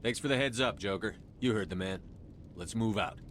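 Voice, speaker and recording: Man playing a composed, low-voiced military commander. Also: normal speed, a bit bright, slight background noise, lightly acted. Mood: measured, persuasive. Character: composed male military commander, steady and pragmatic. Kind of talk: video game voice acting.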